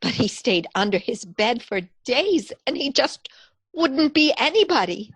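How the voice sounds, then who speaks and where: A woman plays a dainty old spinster in a radio comedy, gentle and genteel. She speaks slowly and hesitantly in a fairly high voice, recorded close. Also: big pitch swings, husky, no echo, full character voice. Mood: fond, fussing. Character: gentle, dainty elderly spinster sister, cheerfully macabre. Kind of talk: radio comedy theatre acting.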